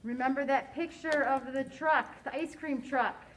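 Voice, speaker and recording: A woman teaching a science lesson to a class, quizzing her students in a slow, deliberate way. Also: high voice, steady tone, slight background noise.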